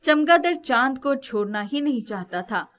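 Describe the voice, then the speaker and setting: A woman reads a scripted story as narrator. Her voice is a little higher than most women's, and she tells the tale in a storybook style. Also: lively intonation, moderate pace, a bit bright.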